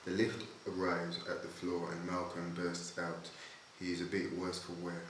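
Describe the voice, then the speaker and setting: Deep-voiced man reading stage directions, echoey room. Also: monotone, normal speed, fairly bright tone. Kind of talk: scripted narration at a table read. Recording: very echoey room, clear background noise.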